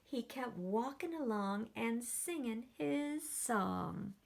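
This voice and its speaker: Woman teacher reading a kids book super slow, lots of gasps and questions. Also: fairly high pitch, creaky. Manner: animated, gasps and quizzes children. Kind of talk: children's story read-aloud.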